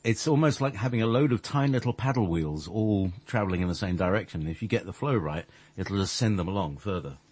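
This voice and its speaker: A man with a fairly low, husky voice chats as a guest on a radio show. He rambles, often trails off and restarts his sentences. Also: expressive, fairly quick, a little mellow, no echo. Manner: hesitant, many restarts and fillers. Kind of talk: rambling conversational chat.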